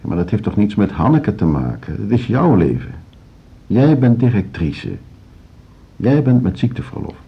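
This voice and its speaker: An actor playing an earnest, indignant lover of classical music in a radio drama, halting yet emphatic. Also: male voice, lowish voice, big pitch swings, slightly rough, heavily acted. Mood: coaxing. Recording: dull radio play recording.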